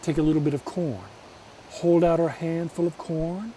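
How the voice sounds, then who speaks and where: A man telling a folk tale as a storyteller, theatrical, with deliberate pauses. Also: a little high, fairly even intonation, dark tone, far from the mic, slight background noise.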